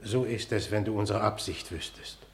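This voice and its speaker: A man's voice: on the low side, normal speed, close to an everyday voice. Echoey, commanding middle-aged king played by a man. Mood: cold, controlled. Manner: formal, commanding. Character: stern, calculating king, middle-aged stepfather. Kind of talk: German radio play of classical drama. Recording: echoey, reverberant room.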